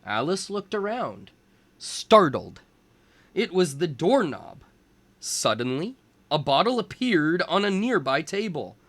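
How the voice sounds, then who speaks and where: A man reading a storybook aloud as a teacher for young children, in deliberate phrases. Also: a little high, very animated, a bit bright, no echo.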